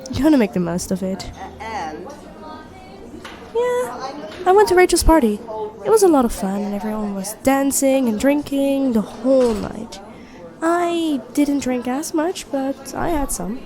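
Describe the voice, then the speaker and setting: A woman's voice: high voice, expressive, a little slow, pauses often, crowd noise. A woman playing a friendly, teasing young best friend with a secret crush in an intimate ASMR roleplay. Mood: friendly, chatty. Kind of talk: intimate ASMR roleplay acting, one side of a conversation.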